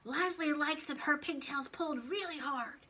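A woman's voice: high voice, sharp tone, recorded from a distance, pitched above the performer's own voice. A woman voicing a sly talking pigtail in a storybook, coaxing and whining in a small, tempting voice. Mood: wheedling. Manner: drawn-out wheedling, whining.

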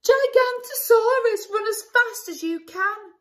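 A woman voicing a boastful little boy dinosaur who plays pranks, with shrill cries. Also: big pitch swings, takes it slowly, sharp tone, exaggerated voice. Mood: alarmed.

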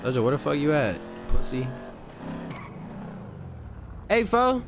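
A man's voice: a little high, sing-song, slow, background noise. A young American man bantering in a roleplay game, with slangy, profane, confrontational trash talk. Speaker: gamer streaming a roleplay game. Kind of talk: casual in-character game banter.

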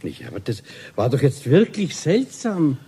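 A man's voice: medium pitch, huge pitch swings, unhurried, gravelly, mellow, husky, heavily acted. An actor playing a jovial, teasing Bavarian friend of a craftsman in a radio play. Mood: insistent. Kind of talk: 1970s German radio play.

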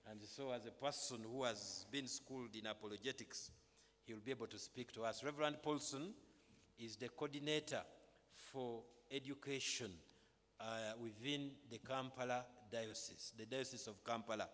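A man's voice: medium pitch, fairly even intonation, normal speed, a bit bright. Ugandan clergyman in a reverberant hall. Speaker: clergyman leading a church service. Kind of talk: church announcements and welcome to a congregation. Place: large brick-walled chapel, indoors. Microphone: microphone on stand at pulpit, through face mask. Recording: reverberant hall through PA microphone.

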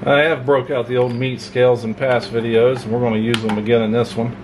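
A man casually reviewing a pocket knife at his home workbench, relaxed and folksy, with plenty of filler words. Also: medium pitch, fairly quick, rough recording. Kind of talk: casual hands-on product review. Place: home workshop desk, indoors. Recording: clear hum of room noise.

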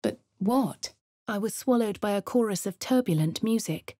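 A woman's voice: mid-range voice, expressive, normal speed, mellow, no room sound, clean studio sound, close to an everyday voice. A woman voicing a posh adventurer. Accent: posh English. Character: posh refined female adventurer, composed. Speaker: player character voice lines. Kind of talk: game voice lines and narration.

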